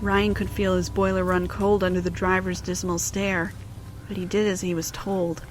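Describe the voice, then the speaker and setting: A woman's voice: lowish voice, level tone, moderate pace, slight background noise, poor audio. A woman reading scripted storybook narration for an audio drama.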